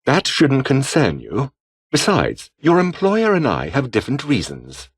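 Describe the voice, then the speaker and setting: Game role: pompous, scheming British officer, coldly ambitious, with widely swinging intonation. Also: male voice, mid-range voice, wildly swooping pitch, measured pace, husky, full character voice. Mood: conspiratorial, cold. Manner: pompous, formal, disdainful. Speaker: video game voice actor. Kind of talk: scripted game character dialogue.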